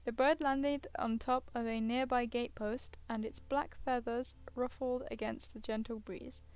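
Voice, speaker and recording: A woman's voice: a little high, fairly even intonation, a little slow, a little mellow, clear, resonant tone. A woman gaming streamer reading a visual novel aloud, casually breaking off into hesitant asides and sounding tired. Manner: hesitant, self-interrupting asides. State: tired, yawning.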